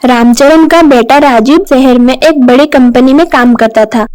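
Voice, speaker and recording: A woman's voice: sits high, measured pace, darkish tone. A woman storyteller reading a scripted story narration. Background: background music.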